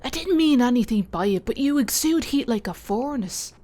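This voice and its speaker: A woman's voice: a little high, lively intonation, moderate pace, warm tone. A woman playing a blunt, confident and irritable female soldier, a first sergeant in a fantasy audiobook. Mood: chiding, defensive. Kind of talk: audiobook character dialogue.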